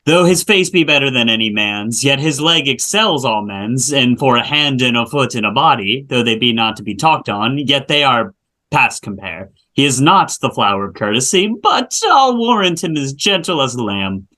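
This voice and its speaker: A woman's voice: a bass voice, animated, moderate pace, sharp tone, heavily acted. Here is a weary old nurse from a Shakespeare play, read aloud by a woman. She huffs, complains about her aches and exclaims often. She teases the one she serves as she goes. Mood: teasing, rambling. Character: weary, achy, teasing old female nurse.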